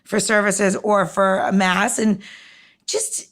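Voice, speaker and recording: A woman's voice: mid-range voice, little pitch movement, slow pace, a bit bright. A woman hosting a casual podcast interview, rambling as she thinks aloud through her questions. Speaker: podcast host.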